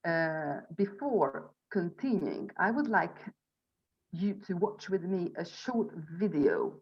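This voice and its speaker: A woman in her forties speaks with a Hungarian accent in a lowish voice. She presents to an online group as a spiritual coach, choosing her words in halting, deliberate phrases. The sound is dull and lo-fi, as on a video call. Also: animated, breathy edge. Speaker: theta healing instructor and coach presenting an online event. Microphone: video call microphone.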